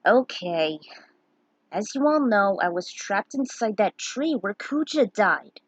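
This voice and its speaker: Woman reading as an earnest young male adventurer, husky. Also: mid-range voice, big pitch swings, slow, no echo, highs cut off, heavily acted. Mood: earnest, proud.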